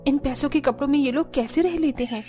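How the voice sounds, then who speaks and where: A woman voicing a gentle, troubled young daughter-in-law in an animated story. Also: high-pitched, quick pace, soft background music, natural voice. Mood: uneasy. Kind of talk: animated story character dialogue.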